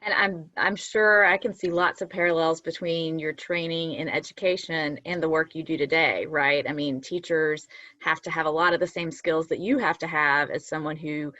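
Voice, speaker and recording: Woman, low voice, fluent and encouraging, dry muffled call audio. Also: steady tone, moderate pace, bright, forceful tone, no room sound. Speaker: panel host. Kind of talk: career advice talk.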